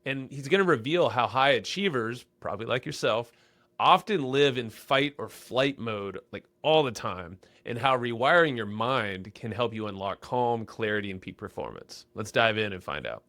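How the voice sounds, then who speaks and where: A man's voice: mid-range voice, animated, normal speed, a bit bright, dry recording. A man hosting a podcast interview, upbeat and chatty, often repeating words as he starts sentences. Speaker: podcast host. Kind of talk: casual podcast interview with ad read.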